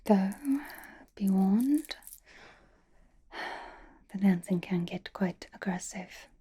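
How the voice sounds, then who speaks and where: Casting: woman as elegant, doting vampiress for ASMR roleplay; unhurried, coaxing, heavily whispered and breathy. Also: mid-range voice, dark tone. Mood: conspiratorial. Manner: intimate, coaxing, slow and genteel. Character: doting, possessive, elegant female vampire at a gala.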